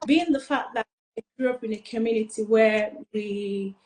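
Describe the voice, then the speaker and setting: A woman's voice: fairly high pitch, moderate pace, a little mellow, echoey room, rough recording. A woman from eastern Nigeria, a pageant finalist, answering interview questions in an earnest, rambling way with many fillers.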